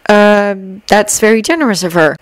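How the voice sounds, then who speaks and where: A woman's voice: mid-range voice, normal speed, no room sound, natural voice. A woman voicing an unimpressed, politely blunt teenage girl with a matter-of-fact manner. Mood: awkward, polite.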